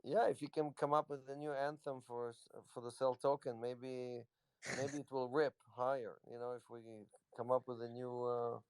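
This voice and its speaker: A man's voice: medium pitch, flat delivery, normal speed, darkish tone, clean tone, no room sound. A man, a company executive, casually answering questions on a live audio chat in a loose, rambling way. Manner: rambling, loose, lots of "you know".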